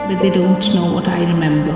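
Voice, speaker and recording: A woman reciting a poem in slow, solemn, measured phrases. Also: fairly low pitch, fairly even intonation, highs cut off. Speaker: poet. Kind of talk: poetry reading over music. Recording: heavy echo, long hall reverb, loud music bed.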